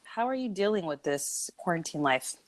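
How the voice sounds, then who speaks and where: A woman with a slightly low-pitched voice hosts a podcast. She interviews in a casual, conversational way, as in an informal chat. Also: animated, moderate pace. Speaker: podcast host.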